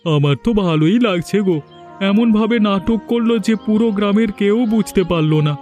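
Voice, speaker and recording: A man's voice: high-pitched, brisk, darkish tone, close to an everyday voice. A man voicing a mocking, self-righteous village man in a cartoon. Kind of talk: Bengali cartoon dialogue. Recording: music behind the voice.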